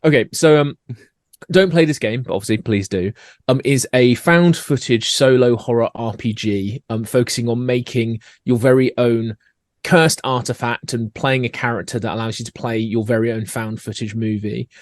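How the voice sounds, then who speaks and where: Scottish man, mid-pitched guest, eager and self-correcting, dry close sound. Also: moderate pace, dry recording. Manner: lively, self-correcting, repeats words. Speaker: game designer guest. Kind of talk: casual podcast interview.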